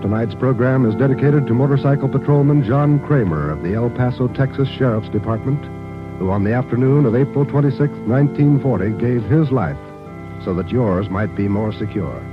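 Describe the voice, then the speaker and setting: A man's voice: medium pitch, fairly quick. A man reading solemn, scripted announcements in 1940s radio style. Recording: dull 1940s radio recording with music.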